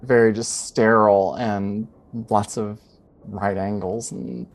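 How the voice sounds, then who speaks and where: A man working as game master, narrating a tabletop adventure in a measured, descriptive, improvised way. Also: fairly low pitch, flat delivery.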